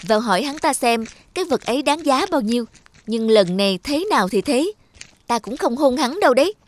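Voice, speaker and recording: A woman's voice: sits high, lively intonation, a little fast, a little hiss or hum, voice pushed up. A woman voicing a haughty, spoiled young cartoon princess in an imperious, exclamatory manner. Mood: excited, bossy.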